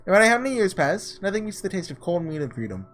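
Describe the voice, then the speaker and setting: A man doing a casual let's play, reading story text aloud and breaking off into excitable asides, with game music behind him. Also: high-pitched, fast talker. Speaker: gaming video creator doing a blind playthrough.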